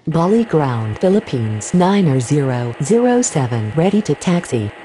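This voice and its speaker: A woman as a low, mellow airport radio operator. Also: very animated, moderate pace, slight background noise. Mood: businesslike. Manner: formal, clipped callouts. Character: female pilot and air traffic controller on radio. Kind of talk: procedural aviation radio phraseology.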